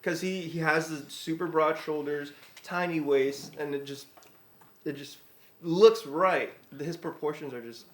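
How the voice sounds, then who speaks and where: A man's voice: a little high, measured pace. Reflective man questioning in an echoey room. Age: 20s. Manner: reflective, asks follow-up questions. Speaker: podcast co-host. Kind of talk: casual podcast chat.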